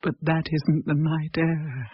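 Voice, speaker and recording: Slow-speaking, haunted British woman. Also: low voice, steady tone, breathy edge, exaggerated voice. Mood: ominous, subdued. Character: haunted, fearful woman sensing unseen forces. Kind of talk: British radio thriller acting. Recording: dull noisy radio drama recording.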